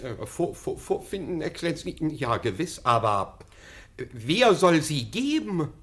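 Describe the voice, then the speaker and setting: A man's voice: on the high side, big pitch swings, unhurried, a bit bright. An actor playing an obsequious, flustered official in a radio comedy, stammering and fussy in his deference. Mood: flustered, helpless. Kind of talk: classic German radio comedy acting.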